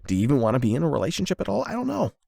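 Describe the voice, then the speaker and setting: A man performing an intimate confession roleplay as a nervous, lovestruck young man, speaking in a halting, self-doubting, conversational way into a close microphone. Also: fairly high pitch, wildly swooping pitch, creaky, a little mellow, dry recording. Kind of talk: emotional confession roleplay, ASMR-style monologue.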